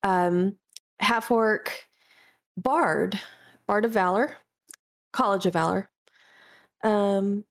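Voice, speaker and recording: A woman with a cold, sniffly, talking casually and haltingly about her tabletop characters, clearing her throat often. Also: medium pitch. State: sick, sniffly. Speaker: podcast guest.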